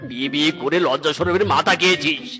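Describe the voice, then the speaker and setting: Man playing a high-pitched, exasperated tiger uncle. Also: fast talker, sharp tone, faint music behind. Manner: scolding, repeats his commands. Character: exasperated tiger matchmaker uncle, male, scolding. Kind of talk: cartoon voice acting.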